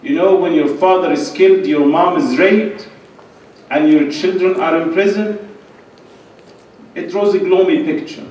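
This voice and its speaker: A man's voice: high-pitched, unhurried, pauses often, darkish tone, a little hiss or hum. A middle-aged man giving an impassioned, emphatic religious and political speech in short repeated phrases. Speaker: conference speaker. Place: large indoor lecture hall at a podium. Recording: echoey hall, distant microphone.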